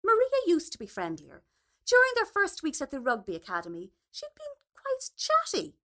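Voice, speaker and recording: A woman narrates a literary short story in a high voice. Her reading is expressive, with pitch that moves widely from phrase to phrase. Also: moderate pace. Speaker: story reader.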